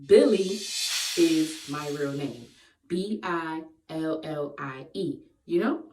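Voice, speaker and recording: A woman's voice: sits low, animated, slow pace, dark tone, a little hiss or hum. Playful woman vlogger, echoey and distant. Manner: playful, laughs often, breaks into sung bits. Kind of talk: casual Q&A vlog. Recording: echoey room, voice far from the mic.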